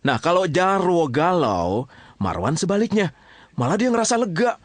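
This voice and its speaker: A man's voice: high voice, very animated, normal speed, dry recording. A man narrating a radio drama as a colloquial storyteller, chatty, theatrical and teasing.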